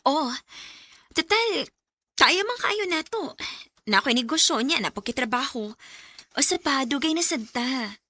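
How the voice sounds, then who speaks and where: An actress with a Cebuano Filipino accent playing a bossy, sharp-tongued young businesswoman in a radio drama. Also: sits high, lively intonation, a little slow, long pauses, heavily acted. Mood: coaxing.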